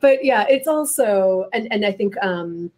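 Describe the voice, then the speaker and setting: A woman's voice: mid-range voice, expressive, a little slow, mellow. A middle-aged American woman interviewing conversationally as a fellow novelist, thinking aloud with emphasis on key words.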